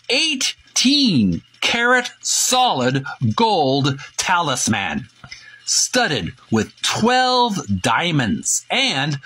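A man hosting a casual narrated show-and-tell, partly reading aloud, in a halting way with repeats and self-corrections. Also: a little high, sharp tone, breathy edge, low-quality recording. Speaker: video host.

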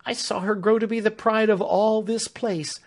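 A man voicing a distraught, loyal female servant who pleads in a high, breathless voice. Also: fairly even intonation, normal speed. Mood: pleading.

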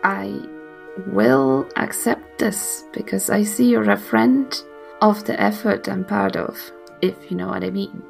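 A woman chats and improvises as a player at a tabletop game. Her voice is very creaky, heavy with vocal fry, and she talks in a casual, self-aware way. Faint music plays behind. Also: mid-range voice, unhurried.

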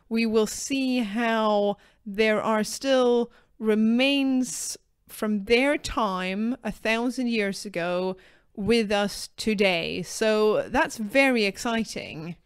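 A young woman from southern Sweden with a Swedish accent, co-hosting a history podcast in a measured, explanatory way. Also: medium pitch, smooth, dry recording, clean studio sound. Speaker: podcast co-host. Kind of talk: casual history podcast chat.

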